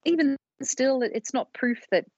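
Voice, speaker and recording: A woman's voice: high voice, expressive, normal speed, darkish tone, husky, no room sound. Woman podcaster, slightly dull dry recording. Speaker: podcast co-host presenting research. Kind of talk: storytelling research discussion.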